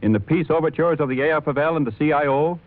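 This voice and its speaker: A male announcer delivering a 1930s radio advertisement in a rhythmic, building sales pitch. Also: quick pace. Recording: tinny 1930s radio recording.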